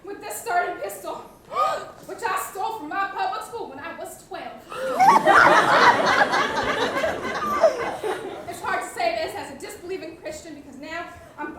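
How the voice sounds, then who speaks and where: Stage farce rector, female player: bright, anxious, apologetic, halting; roomy distant recording with slight noise. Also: extremely high pitch, very animated, heavily acted. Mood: distraught, overwrought. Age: young adult. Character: flustered, guilt-ridden male rector confessing, mournfully earnest. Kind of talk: comedic student stage acting. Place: theatre stage. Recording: echoey stage, distant mic, faint noise.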